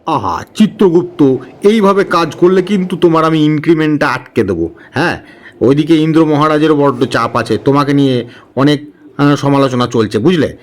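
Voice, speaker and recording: A man voicing a flustered, henpecked god of death in a comic cartoon, exasperated and halting, with sighs and repetitions. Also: on the high side, lightly acted. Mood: annoyed.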